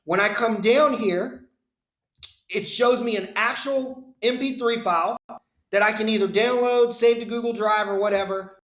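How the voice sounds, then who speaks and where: A man's voice: high voice, moderate pace, a bit bright, reverberant. A man walking viewers through a screen-share demo of voicemail to email, explaining in a conversational way and speaking to them directly. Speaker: business phone system consultant. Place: indoor room, webcam inset.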